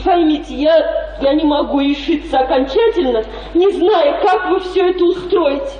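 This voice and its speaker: An actress playing a proud, bitter young daughter in a radio play, declaiming with tense formality. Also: female voice, high-pitched, normal speed, mellow, echoey room, dull audio, natural voice. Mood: bitter resolve. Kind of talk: radio drama acting.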